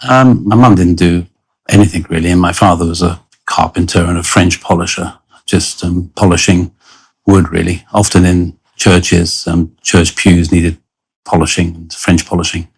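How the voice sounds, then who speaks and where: A London man of about sixty talks as an interview guest, low and gravelly. His delivery is even and understated, in plain conversational reminiscence. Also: normal speed, rough recording. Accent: London English. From London, England; born in Lebanon.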